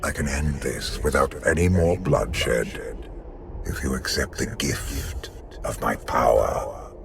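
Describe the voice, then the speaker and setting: Very deep, slow, sinister immortal emperor. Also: male voice, steady tone, creaky, noisy background, full character voice. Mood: coldly persuasive. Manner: slow, menacing, theatrical. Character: sinister immortal emperor offering dark power, coldly amused.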